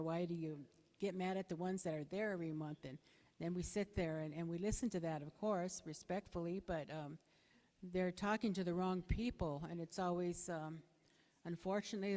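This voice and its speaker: A middle-aged woman with a soft voice giving a hesitant, plain-spoken, unscripted answer as a candidate at a public forum. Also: on the low side. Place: bingo hall, public forum over a PA. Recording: echoey hall with long reverb.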